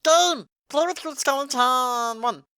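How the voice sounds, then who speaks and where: A woman voicing a frantic, excitable little female creature barkeep who talks in broken, simple bursts in a cartoony audio drama. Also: high-pitched, takes it slowly, no echo, studio quality, heavily acted. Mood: frantic excitement. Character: manic, greedy little female cat-dog creature barkeep.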